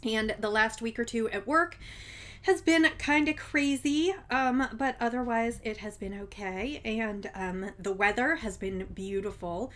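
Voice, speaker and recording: A woman with a somewhat high voice chats casually to camera as host of her own show. She sounds a little congested from a cold. Her talk meanders, with plenty of ums along the way. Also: unhurried, fairly bright tone. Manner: chatty and meandering, with frequent ums. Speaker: podcast host.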